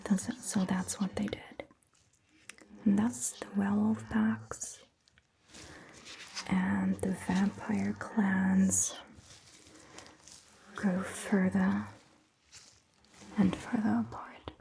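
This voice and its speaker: A woman playing a calm, attentive vampire doctor in a soft, breathy ASMR roleplay whisper, with measured, gentle phrasing. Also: mid-range voice, slight background noise, poor audio. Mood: attentive, soothing. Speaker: ASMR performer.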